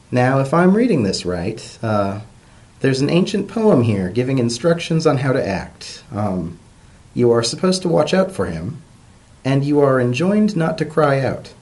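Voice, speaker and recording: A man voicing an earnest, excitable female pony professor who lectures pedantically in a fantasy story reading. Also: medium pitch, pitched above the performer's own voice.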